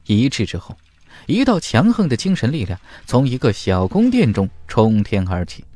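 A man narrating a fantasy audiobook in a dramatic scripted style, with emphatic, deliberate delivery. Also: mid-range voice, huge pitch swings, mellow, dry recording.

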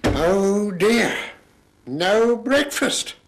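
A man's voice: high-pitched, lively intonation, drawn-out words, creaky, exaggerated voice. An older actor playing a kindly grandfather who patiently explains old times to children, in a children's TV drama. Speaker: grandfather who does magic. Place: kitchen set, indoors.

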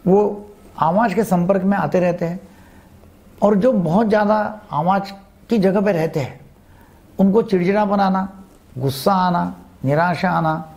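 A man's voice: high-pitched, vocal fry, darkish tone. A man explains health matters to camera as a doctor, emphatically repeating key phrases and mixing in English terms. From India. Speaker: psychiatrist giving health advice. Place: indoors, seated before a green screen. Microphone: lapel mic.

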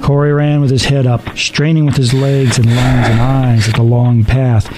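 A man's voice: mid-range voice, little pitch movement, normal speed. A man narrating a radio play as a scripted, dramatic story. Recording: music under the voice.

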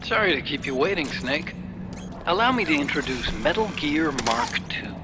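An actor playing a nerdy engineer giving a technical mission briefing over a radio link in a video game. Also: male voice, fairly high pitch, normal speed. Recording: game audio with steady noise.

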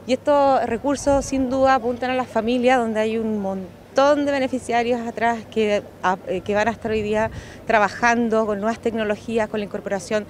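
Chilean woman, formal tone, raised pitch, outdoor noise. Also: fairly even intonation, normal speed. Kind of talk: on-camera interview. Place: outdoor fair. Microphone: handheld news mics.

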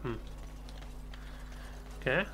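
A man's voice: extremely slow, noisy background. A man doing casual, in-character let's play commentary, musing and thinking aloud. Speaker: let's player.

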